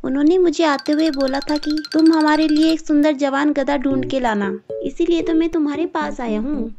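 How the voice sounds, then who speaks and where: High-pitched, coaxing sly jackal, voiced by a woman. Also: moderate pace. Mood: coaxing, boastful. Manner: coaxing, wheedling. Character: sly, flattering male jackal, a scheming servant. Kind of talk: animated animal fable dialogue. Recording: music behind the voice.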